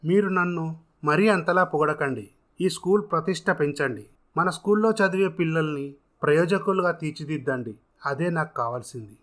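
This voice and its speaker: A man's voice: fairly high pitch, moderate pace, a bit bright, lightly acted. A man voicing an earnest, generous self-made contractor who speaks formally and encouragingly in a dramatised story. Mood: earnest, kindly.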